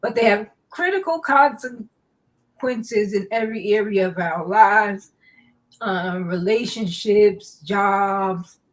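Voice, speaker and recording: A woman hosting a live online talk show, slow and halting with many fillers, her nose stuffy. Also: mid-range voice, low-quality recording. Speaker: host.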